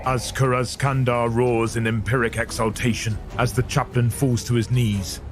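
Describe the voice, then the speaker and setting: A man's voice: medium pitch, little pitch movement, moderate pace. A man giving dramatic scripted narration for an audio drama, grave, portentous and theatrical.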